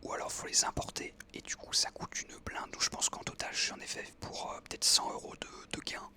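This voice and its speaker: Bright whispering young man. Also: a little fast. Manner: whispering throughout, hesitant with many fillers. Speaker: ASMR creator. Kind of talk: whispered ASMR storytelling. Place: outdoors on an evening walk.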